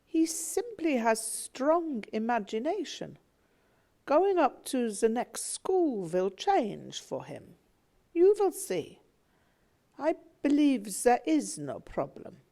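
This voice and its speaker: A woman reading aloud, voicing a gentle middle-aged mother who speaks softly and placidly. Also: fairly high pitch, sing-song, slow pace, pitched above the performer's own voice, full character voice. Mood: reassuring. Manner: soft, placid, reassuring.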